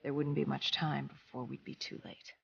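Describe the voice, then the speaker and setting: An actor playing a grim, battle-worn soldier in a video game, recounting an apocalypse in a grave, halting, deliberate way. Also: medium pitch, flat delivery, creaky, husky, lo-fi sound. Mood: grave, tense. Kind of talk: dramatic cinematic narration.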